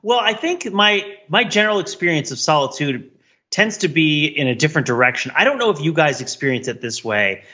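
A man's voice: on the high side, very animated, a little fast, bright, forceful tone. A man co-hosting a podcast, chatting casually about his own life. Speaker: podcast co-host. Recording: dull, lo-fi microphone sound.

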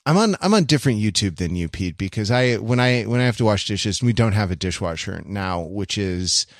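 A middle-aged man co-hosting a podcast, chatting casually and reflectively, halting often as he searches for words. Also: on the low side, lively intonation, no echo, clean studio sound. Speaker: podcast co-host.

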